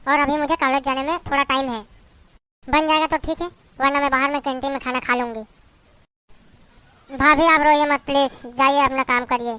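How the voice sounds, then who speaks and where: A woman playing a gentle college-age daughter. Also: sits high, fairly even intonation, moderate pace, long pauses, no room sound, rough recording. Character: young college-going daughter, sympathetic.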